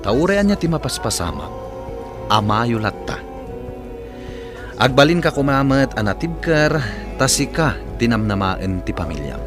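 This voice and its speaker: A man's voice: mid-range voice, very animated, a little slow, long pauses. A man with an Ilocano accent hosting a radio drama program, giving sincere scripted counsel to a listener. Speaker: radio drama host and advice giver. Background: music.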